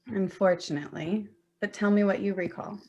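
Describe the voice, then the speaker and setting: A woman's voice: medium pitch, steady tone, normal speed, a little mellow, muffled recording, lightly acted. A woman playing a hurt, sarcastic adult daughter in a staged play reading, dry and confrontational with her mother.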